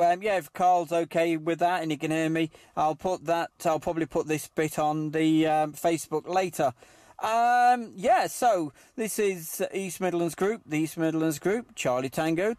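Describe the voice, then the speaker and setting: A man running a CB radio net, speaking in a halting, hesitant way. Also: high voice, a little mellow, no room sound. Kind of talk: amateur CB radio chat.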